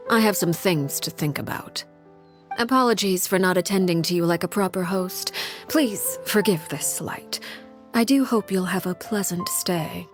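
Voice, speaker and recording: Woman acting, low female voice, formal, gracious, composed, game dialogue, soft music behind. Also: lightly acted. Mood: cool, gracious. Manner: formal, unhurried, polite.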